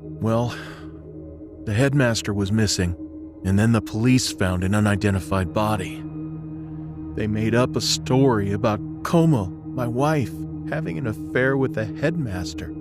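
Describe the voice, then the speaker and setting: A sorrowful imprisoned father, played by a man in an audio drama. He speaks humbly in a fairly low voice, as someone wronged. Music is clearly heard underneath. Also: unhurried, natural voice.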